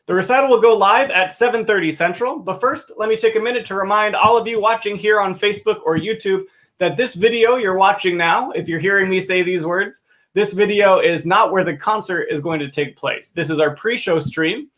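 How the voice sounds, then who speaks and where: Enthusiastic male host, slightly higher voice, scripted livestream introduction. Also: brisk, fairly bright tone. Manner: upbeat, enthusiastic, clear announcing. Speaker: opera company dramaturg hosting a pre-show.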